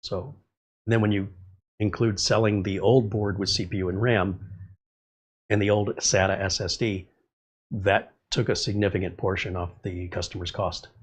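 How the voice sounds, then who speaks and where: A man's voice: sits low, steady tone, moderate pace, breathy edge. A middle-aged man hosting a casual livestream, a little congested, chatting in a rambling way and reading viewer comments aloud. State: slightly congested. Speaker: livestream host.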